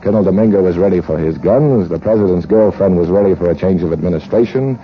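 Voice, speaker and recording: An actor playing a cynical, money-hungry American gunrunner in 1940s radio drama, wry and wisecracking, with hard-boiled narration. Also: male voice, low-pitched, fast, close to an everyday voice. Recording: muffled, noisy 1940s radio recording.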